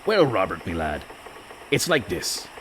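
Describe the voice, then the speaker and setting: Blustering, swooping pirate captain. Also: male voice, low-pitched, wildly swooping pitch, unhurried, a little hiss or hum, full character voice. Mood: sheepish. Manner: hemming, rustic pirate dialect. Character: blustering, sheepish old-fashioned pirate captain. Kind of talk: comic radio play acting.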